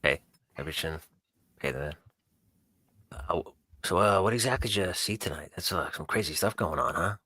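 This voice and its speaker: A man takes his turn in a tabletop roleplay, casually improvising actions and dialogue. His voice is very low yet bright and forceful. The sound is dry and close. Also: expressive, normal speed, pauses often, slightly rough, no echo. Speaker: tabletop game player.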